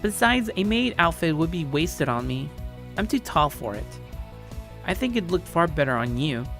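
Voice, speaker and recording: A man's voice: fairly high pitch, sing-song, normal speed, fairly bright tone, faint music behind, voice pushed up. A man voicing a tall, confident tomboy girl who teases playfully in story dialogue. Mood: playful teasing. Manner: breezy, teasing.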